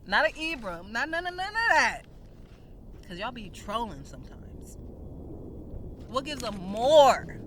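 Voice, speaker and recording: Woman reading aloud over noisy ambience. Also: fairly low pitch. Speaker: video creator reading an essay aloud. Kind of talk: read-aloud written text with casual off-the-cuff asides.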